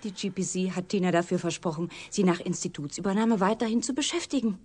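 A woman acting in a German radio drama as an obsessive, coolly unsettling scientist, speaking in a soft, intimate, matter-of-fact way with a husky, breathy voice. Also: lowish voice, quick pace, close to an everyday voice.

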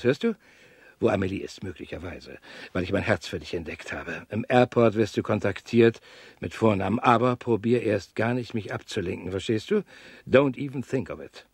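An actor in a radio drama playing a shady, bossy real-estate broker with a pushy, colloquial, clipped way of talking. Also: male voice, on the low side, a little mellow. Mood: conspiratorial, bossy. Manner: colloquial, pushy wheeler-dealer talk with clipped phrases.

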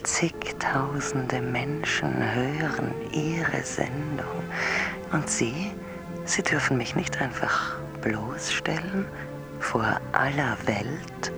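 Spooky dreamy woman on late night radio drama, drawn out whispery repetitive talk, music really loud behind. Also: rumbling low, creaky, full character voice. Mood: hurt, menacing. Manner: slow, halting, hypnotic, repeating herself. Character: eerie, insistent dreamy woman calling a radio show. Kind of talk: surreal radio drama acting.

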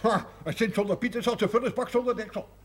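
A man's voice: high-pitched, steady tone, fast, a little hiss or hum, lightly acted. An actor playing a nervous, folksy, tippling villager who bumbles and repeats his words in a comic television period drama. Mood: stubborn, earnest. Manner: bumbling, stammering repetitions, folksy. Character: nervous, tippling villager dressing up as Saint Nicholas. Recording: old television soundtrack, voices behind.

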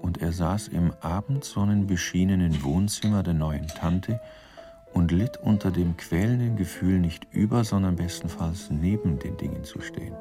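An actor playing the wry narrator of a comic crime radio play, measured and dryly ironic. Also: male voice, low-pitched, music in the background.

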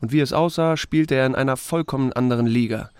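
Actor as laconic small-town sheriff. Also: male voice, medium pitch, fast, smooth, dry recording, lightly acted. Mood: dry narrating. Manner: dry, understated. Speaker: narrator and lead character. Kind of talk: radio drama dialogue and narration.

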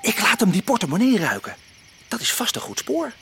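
An eager boy sleuth in a radio play, high-pitched and very animated. His talk is colloquial, over a faintly noisy recording. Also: brisk, creaky, exaggerated voice. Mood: curious, excited. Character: eager, excitable boy amateur detective. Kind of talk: Dutch radio play acting.

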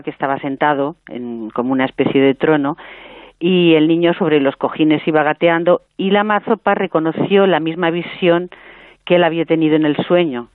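A woman's voice: low-pitched, no room sound, narrow, tinny audio. A middle-aged or older woman telling her own story as an interview guest. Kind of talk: personal storytelling in a radio interview.

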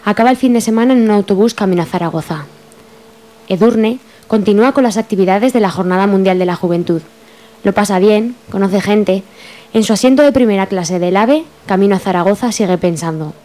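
A woman's voice: medium pitch, a little fast, dark tone. A woman with a Spain accent giving scripted narration for a radio drama. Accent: Spain Spanish.

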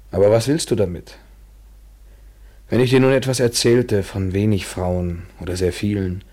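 Radio drama lover, male: very deep, husky, ironic, measured, world-weary but tender. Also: darkish tone, full character voice. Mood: sardonic. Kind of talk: literary German radio play acting, 1970s.

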